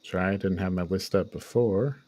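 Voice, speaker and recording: A man's voice: low voice, steady tone, brisk, a little mellow. A man hosting a podcast, chatting casually as part of a panel reviewing movies. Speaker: podcast host.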